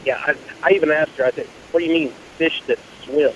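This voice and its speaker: A man's voice: fairly high pitch, fairly even intonation, fast talker, noisy background. Dry, exasperated man on a phone call. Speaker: phone-in guest. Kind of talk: casual phone conversation. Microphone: telephone.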